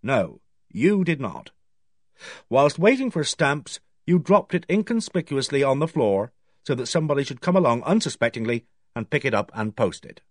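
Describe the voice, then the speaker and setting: A polite but firm police officer from a detective novel, read by a male narrator. He speaks formally and with measured care, at a middling pitch, in a dry studio sound. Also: big pitch swings, dry recording. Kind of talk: audiobook character voice.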